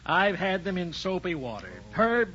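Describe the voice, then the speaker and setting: A man's voice: high-pitched, sing-song, moderate pace, pushed and bright, heavily acted. An actor playing a fussy, exasperated husband and father in a 1940s radio situation comedy. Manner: exasperated, earnest. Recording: muffled 1940s radio recording.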